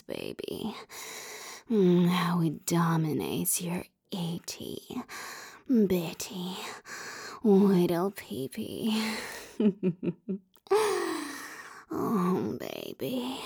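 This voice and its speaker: A woman speaking in a soft, coaxing, teasing whisper for a roleplay audio, with sighs and moans. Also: fairly low pitch, dry recording, studio quality. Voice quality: whispery, breathy.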